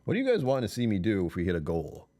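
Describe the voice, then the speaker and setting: Tired male streamer, very low voice, chuckling, rambling casual chat. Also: huge pitch swings, fast talker, mellow. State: tired, voice lower than usual. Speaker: livestream host.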